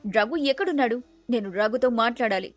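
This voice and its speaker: A woman's voice: on the high side, expressive, brisk, no room sound, faint music behind, lightly acted. A woman playing an aggrieved, insistent young jilted sweetheart in a family drama story.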